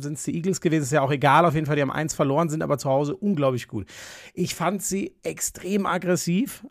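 A man in casual sports podcast chat, rambling along with plenty of fillers. His voice sits a little high and sounds close and dry, with no room around it. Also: dry recording. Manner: chatty and rambling, many fillers. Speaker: podcast co-host. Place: room in a family home.

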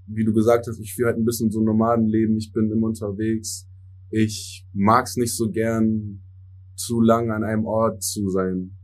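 Young guy, native english speaker, lowish warm voice, super casual podcast guest, slangy with lots of fillers. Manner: relaxed youth slang, English words mixed in, many fillers. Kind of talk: casual interview chat.

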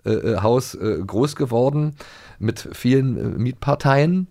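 A man's voice: medium pitch, lively intonation, unhurried, darkish tone. A man co-hosting a podcast, chatting casually and reminiscing, with a hesitant delivery full of fillers and restarts. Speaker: podcast co-host.

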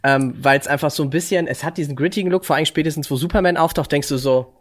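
Fast-talking, slangy man. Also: a little high. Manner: slangy, mixes in English words. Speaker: podcast co-host. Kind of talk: casual chat about games and comics.

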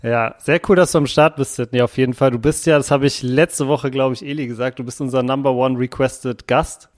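Young man, podcast co-host, upbeat, asks questions, medium pitch. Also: fairly even intonation, brisk. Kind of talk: casual podcast chat.

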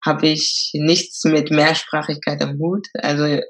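A woman's voice: low-pitched, little pitch movement, a little slow. A woman, telling her story as a podcast guest, hesitant, with many fillers. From Germany. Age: 20s. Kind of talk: casual interview talk.

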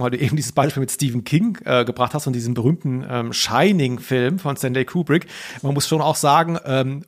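A man with a mid-range voice chats as a podcast co-host. His delivery is informal and a little wry, as if working out his opinion while he speaks. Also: mid-range voice. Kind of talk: casual podcast chat.

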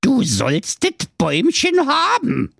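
A man voicing a grumpy, sly evil dwarf who runs a gas station, in a very high, grumbling voice with Berlin slang. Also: wildly swooping pitch, measured pace, gravelly, husky, no room sound, clean studio sound, heavily acted.

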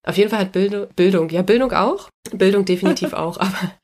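A woman with a cold and a stuffy nose, hosting a casual podcast chat as a dog trainer, relaxed and chatty, laughing easily. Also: mid-range voice, fast.

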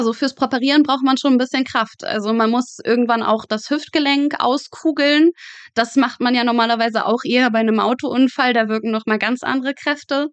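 A young woman with a fairly high voice talks as an interview guest. She recounts her student experiences frankly and vividly, in a matter-of-fact conversational way. The sound is close and dry. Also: no echo. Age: 20s.

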